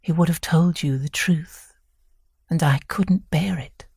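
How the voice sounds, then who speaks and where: Man voicing a quavering, genteel elderly mother, pitched up. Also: unhurried, creaky, darkish tone, breathy edge, no echo, clean studio sound, heavily acted. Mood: distressed. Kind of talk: audiobook character voice.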